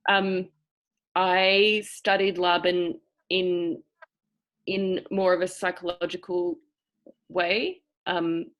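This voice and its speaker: A woman's voice: on the low side, flat delivery, fairly bright tone. Woman with a cultivated Australian accent. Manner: thoughtful, searching for words, with pauses. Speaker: acting teacher. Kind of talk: explanatory seminar talk. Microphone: video call.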